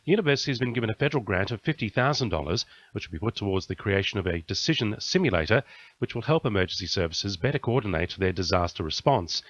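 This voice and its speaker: A man's voice: mid-range voice, a bit bright, lo-fi sound. An Australian man reading a scripted radio news bulletin as the station's news reader.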